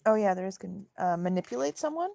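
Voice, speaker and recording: A woman's voice: medium pitch, lively intonation, quick pace, creaky, mellow, no echo. A woman chatting casually as a player in a tabletop role-playing game.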